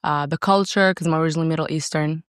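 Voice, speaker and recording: A woman's voice: sits low, steady tone, fast talker, clean tone, no echo, clean studio sound. A woman from Saudi Arabia talking casually and self-assuredly as a guest in an interview, with emphatic delivery. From raised in Saudi Arabia. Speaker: podcast guest, entrepreneur.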